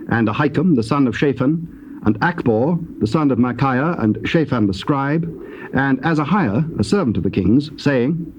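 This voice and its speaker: A man narrating scripture from a script in a formal, measured manner. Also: mid-range voice, lively intonation. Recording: muffled, noisy old cassette tape.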